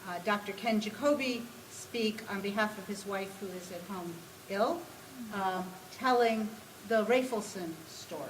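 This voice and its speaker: A woman's voice: medium pitch, a little slow, a little hiss or hum, rough recording. A woman introducing the next speaker at a remembrance service, with a few brief hesitations. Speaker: event presenter. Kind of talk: formal introduction. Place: synagogue hall.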